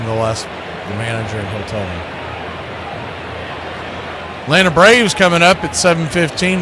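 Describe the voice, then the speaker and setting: Male baseball announcer over noisy background. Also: medium pitch. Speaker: play-by-play radio announcer. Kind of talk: live baseball commentary.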